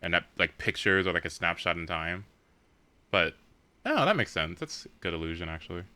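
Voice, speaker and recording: A man's voice: low voice, very animated, a little fast, bright, forceful tone, no echo. A man doing casual live-stream game commentary, informal and thinking aloud.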